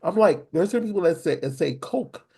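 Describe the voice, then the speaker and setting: A man from the American Midwest co-hosting a podcast, speaking low and rambling with lots of restarts. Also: lively intonation, brisk, creaky, dark tone, breathy edge. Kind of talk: casual podcast chat.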